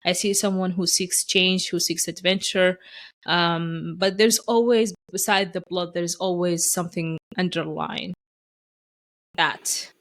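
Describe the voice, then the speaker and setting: A woman hosting a podcast, telling stories in casual conversation with lively restarts. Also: lowish voice, measured pace. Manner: animated storyteller, acts out quoted voices; frequent restarts and fillers. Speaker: podcast host.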